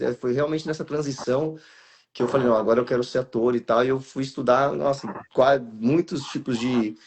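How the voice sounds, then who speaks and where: A man's voice: mid-range voice, a little mellow, a little hiss or hum. A Brazilian actor in his thirties, speaking in a casual live interview and telling his career story with many restarts and fillers. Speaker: guest actor and voice actor.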